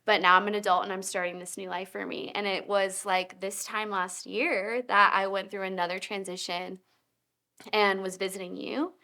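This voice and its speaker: A woman's voice: mid-range voice, flat delivery, normal speed, sharp tone. A woman in her late twenties, a guest in a casual faith chat, telling her story in an earnest, reflective way. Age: 20s.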